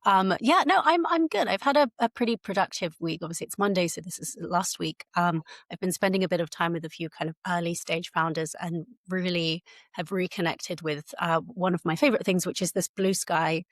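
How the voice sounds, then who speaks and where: An upbeat, friendly woman co-hosts a tech podcast in casual chat. Her voice sits a little low, and the recording is close and dry with no room sound. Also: fairly quick, no room sound. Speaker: podcast co-host.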